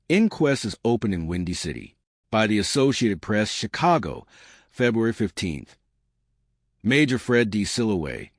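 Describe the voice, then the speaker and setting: Deep-voiced man reading news, lively intonation. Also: dry recording, studio quality. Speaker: narrator reading newspaper reports. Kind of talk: scripted news narration.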